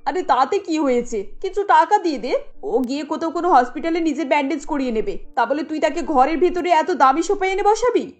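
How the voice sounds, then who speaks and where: A woman's voice: sits high, expressive, brisk. A woman playing a haughty, imperious middle-aged rich mother in a cartoon, scolding with snobbish indignation. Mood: angry, commanding. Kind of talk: cartoon dialogue acting. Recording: light music under the voice.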